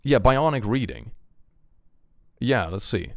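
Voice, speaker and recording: A man's voice: mid-range voice, very animated, slow, dry recording, clean studio sound. A man reading out and reacting to viewer chat in a casual stream, chuckling often and trailing off with fillers. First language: German.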